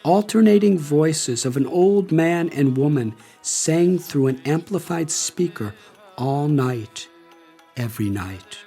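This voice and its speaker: Older guy reading his memoir, slow and thoughtful, kinda high voice, soft music under it. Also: lively intonation, creaky, husky. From United States. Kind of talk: audiobook narration.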